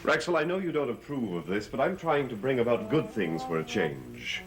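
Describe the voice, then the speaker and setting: Brooding, cursed gentleman played by a man, earnest gothic soap delivery, very animated pitch, music underneath. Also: normal speed, lightly acted. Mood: grave, earnest. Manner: earnest, dramatic, pleading. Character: haunted, brooding, cursed master of a gothic mansion. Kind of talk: gothic soap opera acting.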